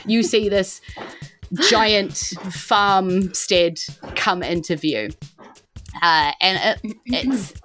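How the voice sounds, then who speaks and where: A woman's voice: mid-range voice, very slow, a bit bright, faint music behind. A woman with a New Zealand accent improvising narration as game master of a tabletop game, in an informal, loosely descriptive way.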